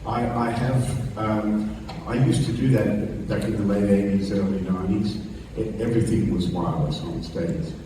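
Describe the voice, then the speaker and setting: A man's voice: on the low side, steady tone, normal speed, noisy background. Rambling man talking guitar in an echoey hall. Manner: rambling, repeats words and restarts, chatty asides. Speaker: guitar teacher at a camp. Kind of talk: casual workshop talk with guitar demonstrations. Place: large echoey hall before an audience. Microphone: distant microphone. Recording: roomy hall sound from a distance. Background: guitar playing.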